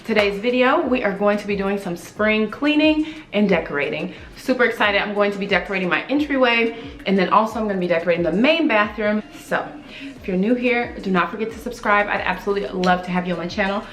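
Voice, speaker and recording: A woman's voice: medium pitch, fast, a bit bright. A woman in her thirties greeting viewers in an upbeat, chatty way, filmed at home with a camera on a tripod. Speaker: home decor vlogger. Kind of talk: casual on-camera vlog talk. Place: bright room at home, indoors. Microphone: camera on a tripod at a short distance. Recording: echoey room sound.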